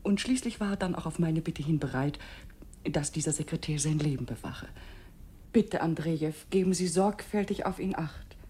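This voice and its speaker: An actress playing an anxious, devoted upper-class wife in a German radio play, speaking in a refined, confiding manner. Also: female voice, low-pitched, moderate pace, warm tone, slight background noise, natural voice. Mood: confiding, concerned.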